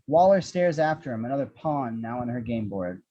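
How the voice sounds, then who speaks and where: Male screenplay narrator, wry, mellow tone, slightly muffled lo-fi sound. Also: mid-range voice, expressive, moderate pace. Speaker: action-description reader.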